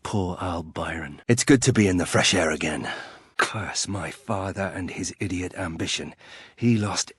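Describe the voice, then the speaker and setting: Brooding, low-voiced fantasy nobleman, dry. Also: unhurried, fairly bright tone, husky, no room sound, exaggerated voice. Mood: brooding, bitter. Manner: dry, sardonic, clipped. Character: brooding, bitter young nobleman turned warrior, proud and sardonic. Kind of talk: fantasy video game voice acting.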